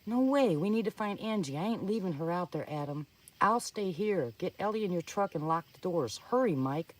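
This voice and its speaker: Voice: mid-range voice, expressive, moderate pace, distant mic, natural voice, low-quality recording. A little off the mic comes a storyteller's take on a frightened young man in a horror story. He is urgent and protective, exclaiming in panic. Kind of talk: amateur dramatic story reading.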